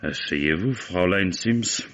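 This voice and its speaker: Commissaire à la voix très grave. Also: male voice, sits low, slow pace, loud music behind the voice. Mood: courteous, probing. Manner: courteous, probing. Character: shrewd, unhurried male police commissioner questioning suspects. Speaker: actor in a radio mystery. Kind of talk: French radio mystery drama acting. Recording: dull old radio recording.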